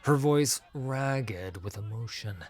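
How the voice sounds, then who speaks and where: A man narrating a fantasy story as a dramatic audiobook, in a measured, solemn manner. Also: mid-range voice, animated, a little mellow.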